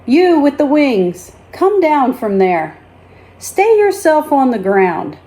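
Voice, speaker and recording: A woman's voice: high-pitched, big pitch swings, slow pace, warm tone, pitched above the performer's own voice, full character voice. A woman voicing a stern, commanding policeman in a children's storybook, scolding and giving orders. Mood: stern warning.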